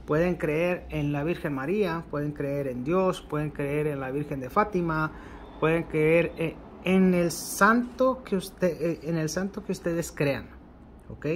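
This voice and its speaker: Mexican man, slightly high voice, walks viewers through a ritual step by step, repetitive and unhurried, faint hiss behind. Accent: Mexican Spanish. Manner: unhurried and repetitive, ending phrases with a questioning "ok". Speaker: occult ritual instructor. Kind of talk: spoken tutorial demonstrating a ritual. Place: indoors at a table covered with candles and ritual objects.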